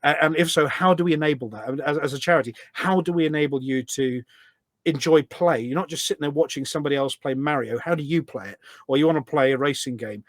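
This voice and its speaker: A man's voice: fairly high pitch, quick pace, no echo, clean studio sound. A man talking enthusiastically in a podcast interview as a guest, explaining things step by step and often posing questions as he goes. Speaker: podcast guest, voice pack developer.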